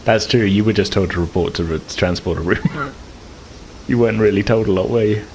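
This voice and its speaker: A man's voice: lowish voice, a little fast, a little mellow, poor audio. A man acting as game master, narrating a tabletop game casually and thinking aloud in loose, hesitant phrases. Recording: clear background noise, room ambience.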